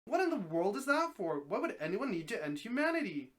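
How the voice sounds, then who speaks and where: A man's voice: sits high, very animated, fairly quick. A man voicing a curious, incredulous man in a dramatic story reading, questioning and hesitant.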